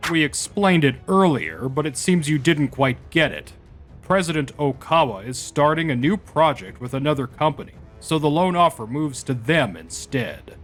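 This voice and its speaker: An actor playing a polite but firm bank loan officer in a manga dub. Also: male voice, fairly high pitch.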